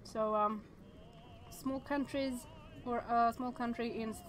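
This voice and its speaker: A woman in her thirties talks through a visual novel on stream, her voice sitting fairly high. She thinks aloud, trailing off and restarting her sentences as she goes. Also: steady tone, takes it slowly, soft background music. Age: 30s.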